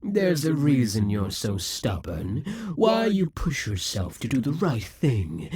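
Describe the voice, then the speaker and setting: A man's voice: medium pitch, a little mellow. A man playing a brooding, possessive demon king. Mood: sly. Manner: brooding theatrical monologue. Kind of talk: audio roleplay addressing the listener.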